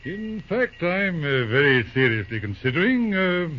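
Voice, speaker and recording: An old uncle-judge, chuckly and drawly, muffled old radio sound w a bit of hiss. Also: male voice, fairly high pitch, very animated, moderate pace. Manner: chuckling, drawling, humming thoughtfully. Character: shrewd genial older male judge, uncle. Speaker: lawyer uncle. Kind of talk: 1930s radio drama acting. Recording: dull lo-fi old radio recording, faint hiss.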